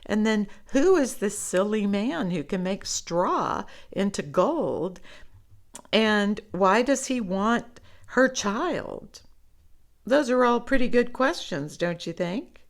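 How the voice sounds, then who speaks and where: A woman reading stories aloud to children in an expressive, conversational way, as if talking directly to young listeners. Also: mid-range voice, slow pace, vocal fry. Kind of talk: read-aloud storytelling with chatty commentary.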